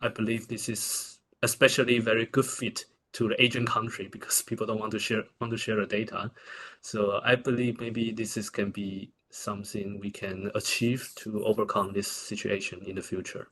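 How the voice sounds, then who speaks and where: A man with a Taiwanese accent answering questions as a researcher at a conference, in halting, informal phrasing with repeated words. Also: lowish voice, level tone, fairly bright tone. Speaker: researcher presenting.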